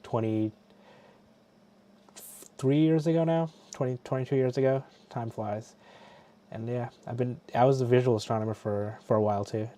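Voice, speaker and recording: A man's voice: fairly low pitch, warm tone. A middle-aged man from Bangladesh hosting a casual live stream, chatty and loose, often restarting his sentences. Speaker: livestream host. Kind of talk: casual chat.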